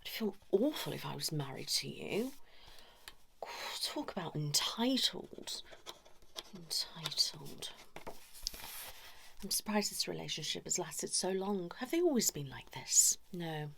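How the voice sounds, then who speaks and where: A British woman doing a whispered ASMR role-play as a chatty, cheeky couples' therapist, breathy and soft. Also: lowish voice, expressive, unhurried, pauses often, background noise. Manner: chatty, cheeky, improvised asides to imagined clients.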